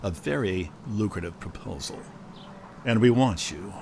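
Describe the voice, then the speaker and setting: An actor playing a smug, sly mob lawyer who needles and insinuates, in a radio drama. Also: male voice, on the low side, animated, unhurried, creaky, noisy background. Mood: sly, persuasive. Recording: outdoor ambience with birdsong.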